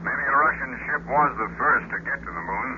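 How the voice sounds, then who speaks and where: A man's voice: mid-range voice, expressive, brisk, slight background noise, lightly acted. Man acting a colonel on tinny radio link. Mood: wry. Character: sober American colonel at mission control. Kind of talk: 1950s radio drama acting.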